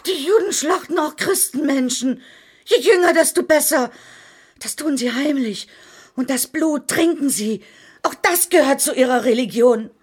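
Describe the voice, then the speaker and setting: An actress playing a spiteful, gossiping older woman in a German radio drama, giving insistent and halting testimony in a dramatic way. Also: sits high, animated. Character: older female servant broker, spiteful, gossiping accuser.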